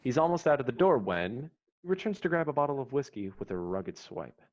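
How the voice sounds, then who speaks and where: A man's voice: medium pitch, big pitch swings, fairly quick, a little mellow. A man reading scripted stage directions as narrator for an online screenplay table read. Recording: dull lo-fi online call audio.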